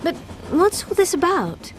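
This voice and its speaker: An actress playing a chatty, cheeky, independent young secretary with a British accent in a radio drama. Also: female voice, very high-pitched, sing-song, unhurried, slight background noise, full character voice. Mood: curious, cheeky. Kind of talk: casual dialogue in radio drama.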